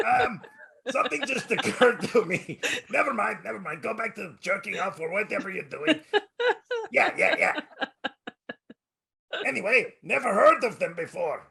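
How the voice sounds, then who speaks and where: A man doing Bongo, a raspy high crude clown. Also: animated, a little slow, long pauses, pushed and bright, full character voice. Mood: crude joking. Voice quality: raspy, gravelly. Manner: crude wisecracking, repeats "yeah, yeah, yeah". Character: crude, goofy male guest character Bongo. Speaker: guest. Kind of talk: casual podcast banter.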